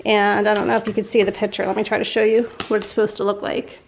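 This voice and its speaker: A woman's voice: mid-range voice, little pitch movement, fast talker, gravelly, slight background noise. Congested nasal woman vlogger, chatty. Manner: chatty, laughs often. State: congested, sore throat. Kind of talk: casual chat to camera. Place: at home.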